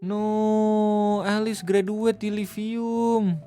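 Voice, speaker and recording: A man's voice: high voice, flat delivery, drawn-out words, mellow, soft background music. A man hosting a livestream, reacting casually, joking and laughing at himself. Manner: jokey, laughs often, mutters to himself. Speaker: livestream host. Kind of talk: casual reaction stream chat.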